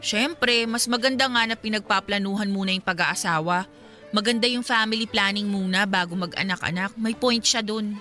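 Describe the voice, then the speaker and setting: Bright-voiced young Filipina actress pining in secret. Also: female voice, mid-range voice, moderate pace, soft background music, lightly acted. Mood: teasing. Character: single young woman secretly pining for her male friend. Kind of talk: Tagalog radio drama dialogue.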